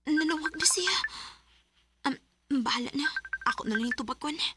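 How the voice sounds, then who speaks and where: An actress playing a lovesick young female student in a radio drama, often musing in hushed whispers. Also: high-pitched, animated, normal speed, heavy background noise. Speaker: lead character and narrator. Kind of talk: Visayan radio drama acting.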